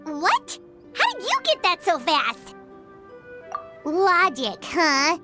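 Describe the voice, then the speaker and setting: A woman voicing a small, excitable, cheeky fairy companion with a squeaky childlike voice in a game. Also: slow pace, soft background music, exaggerated voice. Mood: puzzled, sulky. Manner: speaks of herself in the third person. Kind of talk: English game dub voice acting.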